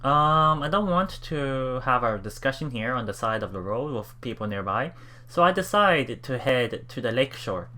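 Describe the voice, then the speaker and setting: A man hosting a let's play, reading game text aloud and adding casual, halting commentary with repeated words and exclamations. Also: mid-range voice, animated, sharp tone. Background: game music.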